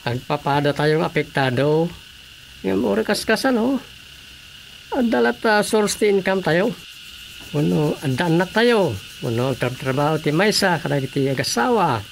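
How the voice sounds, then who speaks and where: A man's voice: on the high side, animated, normal speed, creaky, slight background noise. A man with an Ilocano accent acting a patient village council officer in an Ilocano radio drama comedy. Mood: patient, explaining.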